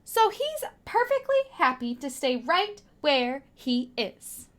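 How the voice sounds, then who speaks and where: A woman teacher reading a children's picture book aloud with dramatic emphasis and hushed asides to young listeners. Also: high voice, very animated, takes it slowly.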